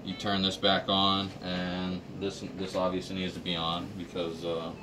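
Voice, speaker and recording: Very low-voiced man, distant in a noisy room. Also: monotone, normal speed. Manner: plain, practical, explaining step by step. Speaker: installer explaining a system to staff. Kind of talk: informal hands-on equipment walkthrough.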